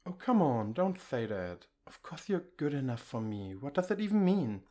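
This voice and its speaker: A man playing an affectionate, reassuring boyfriend in an intimate ASMR comfort roleplay, speaking in a gentle, coaxing, conversational way. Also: medium pitch, big pitch swings, normal speed, lightly acted. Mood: tender, earnest.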